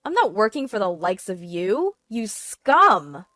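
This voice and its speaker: A woman's voice: mid-range voice, animated, a little slow, dry recording, studio quality, natural voice. A woman voicing a scornful betrayed wife with a cutting, unyielding manner in a dramatized story. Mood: furious.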